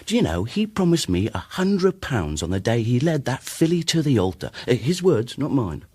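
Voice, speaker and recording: A worldly man passing on scandal in an audio mystery. He is wry and confiding, with a husky voice and lively swings of intonation, recorded very dry. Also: medium pitch, moderate pace, no room sound. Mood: wry, gossipy. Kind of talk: dramatised audio mystery, conversational.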